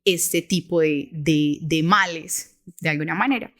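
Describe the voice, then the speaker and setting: A woman with a Medellín accent tells a story on a podcast. Her voice sits fairly low, and she talks casually and hesitantly, with frequent restarts and little check-ins. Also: a little slow, a bit bright. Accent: Colombian, Medellín. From Medellín, Colombia. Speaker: podcast co-host.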